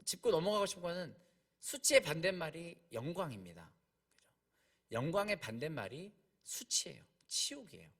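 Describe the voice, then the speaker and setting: Male preacher, slightly high voice with highly varied intonation; explains conversationally, repeats key points, asks rhetorical questions. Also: sing-song, normal speed, fairly bright tone. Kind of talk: conversational expository sermon.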